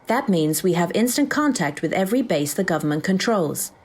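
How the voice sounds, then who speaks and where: A woman playing a brisk, dry-witted AI assistant in a comic sci-fi audio drama. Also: lowish voice, lively intonation. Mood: brisk, informative. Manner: crisp, dry, businesslike.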